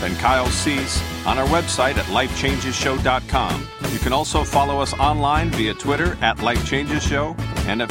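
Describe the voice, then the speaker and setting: Male show ident voice, mid pitch, bright announcer read over loud guitar music. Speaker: station announcer. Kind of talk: scripted radio show ident.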